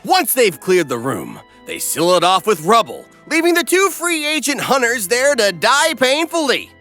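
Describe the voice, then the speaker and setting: A man's voice: extremely high pitch, wildly swooping pitch, normal speed, bright, forceful tone, faint music behind. A man giving a comedic scripted recap as narrator, snarky, irreverent and exuberant.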